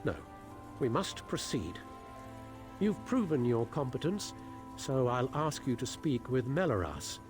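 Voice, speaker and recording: High elf prince, fantasy game, stern, haughty, formal, lively intonation, music behind. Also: male voice, measured pace. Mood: stern, disdainful. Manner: formal, haughty, clipped disdain. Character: stern, proud high elf prince, bitter yet dutiful. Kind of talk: fantasy video game dialogue.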